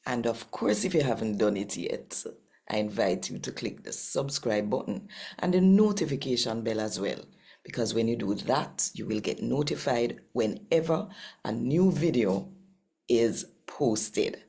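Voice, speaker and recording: A Jamaican woman hosts and reads scripture in an exceptionally low voice. It has a dark, mellow tone with a noticeable gravelly creak, and she speaks in her Jamaican accent as a devotional reader. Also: expressive, unhurried. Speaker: channel host and scripture reader. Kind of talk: scripture reading and channel introduction in a devotional video.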